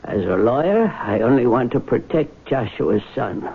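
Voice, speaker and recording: An actor playing a grave, loyal family lawyer in formal, insistent 1970s radio drama style, with a gravelly, husky voice. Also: male voice, mid-range voice, very animated, normal speed, exaggerated voice. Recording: tinny old radio broadcast.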